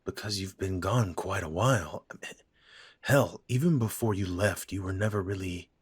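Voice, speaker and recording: A man playing a blunt, weary aging father who sighs and speaks slowly. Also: low voice, husky. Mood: fond. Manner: blunt, sighing, slow-spoken.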